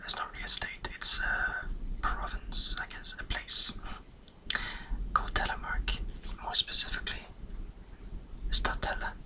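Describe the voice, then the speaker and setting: A man's voice: a little slow, poor audio. A man in his twenties from Norway, whispering a rambling, self-deprecating life story for an ASMR video. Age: 20s. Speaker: ASMR channel host.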